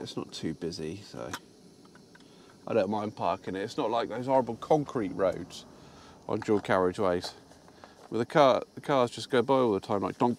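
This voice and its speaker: A man's voice: mid-range voice, darkish tone, a little hiss or hum. A man chatting casually about his day while parked in his truck cab, rambling with fillers and restarts. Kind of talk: casual vlog chat.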